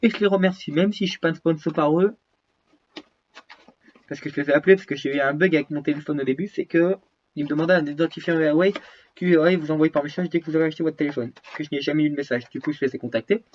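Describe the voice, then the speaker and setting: A man's voice: on the high side, quick pace, darkish tone, muffled recording, a little hiss or hum. A man with a cold, short of breath, chatting casually and rambling to his viewers as he shows off his phones and gear. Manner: rambling, restarts, slangy. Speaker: YouTuber showing his gear. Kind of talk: casual vlog chat to viewers.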